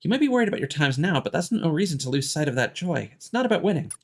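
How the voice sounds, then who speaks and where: Man voicing a reassuring, high-pitched teenage heroine. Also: big pitch swings, fast talker. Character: encouraging, sincere teenage girl heroine, gentle and supportive. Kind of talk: reading video game dialogue aloud in character.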